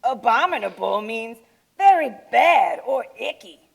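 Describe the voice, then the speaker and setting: Woman as a slow, creaky-voiced gentle yeti. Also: a little high, animated, full character voice. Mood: gentle, wistful. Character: misunderstood, lonely, gentle male yeti living atop mountain. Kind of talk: children's puppet show acting.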